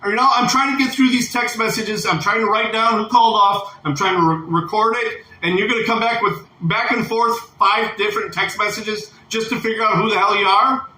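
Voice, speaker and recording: A man argues over the phone as a shift supervisor, his high voice piercingly bright. He lectures and cuts in, sounding irritated. The recording is roomy with faint noise underneath. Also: steady tone, a little fast, few pauses. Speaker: work supervisor taking call-offs. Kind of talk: heated phone argument.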